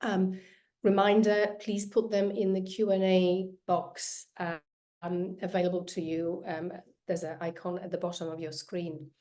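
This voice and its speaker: A woman's voice: lowish voice, level tone, a little slow, mellow. A woman moderating an online panel, polite, with fillers between phrases.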